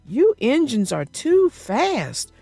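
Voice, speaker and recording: Casting: woman to voice a cautious, matter-of-fact railway brake car for children, precise, high and lively. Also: takes it slowly, no echo, soft background music, heavily acted. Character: cautious, earnest, literal-minded male brake car.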